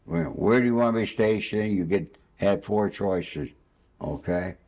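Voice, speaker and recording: An older male interviewer with a fairly low voice. He talks casually and off the cuff, drifting into anecdotes. His manner is rambling and a little boastful. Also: measured pace, darkish tone. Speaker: interviewer and host. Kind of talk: spontaneous casual conversational interview.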